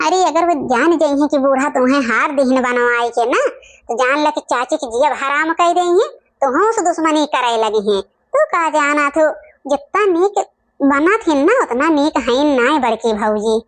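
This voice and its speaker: A woman's voice: sits high, expressive, normal speed. A woman with an Awadhi accent voicing a meddling village gossip in a cartoon family comedy, insinuating and stirring quarrels in homely speech.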